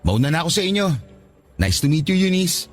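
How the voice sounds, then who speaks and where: A man's voice: a little high, very animated, brisk, soft background music. An actor playing a friendly, easygoing young male co-worker with a Filipino accent in a radio drama. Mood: polite, hurried.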